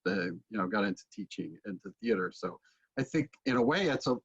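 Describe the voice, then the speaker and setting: A middle-aged man chatting as an interviewer, musing and a little halting, on a video call from a room at home. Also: fairly low pitch, expressive, fairly bright tone, husky. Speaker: interviewer, theater teacher. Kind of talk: casual interview chat. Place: book-lined room at home, indoors. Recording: dull lo-fi video call audio.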